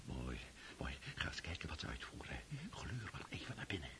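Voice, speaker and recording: A man's voice: rumbling low, sing-song, normal speed, whispered. An actor in a Dutch radio drama playing a jovial, scheming aristocratic father with an effusive, repetitive way of talking. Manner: effusive, repeats himself.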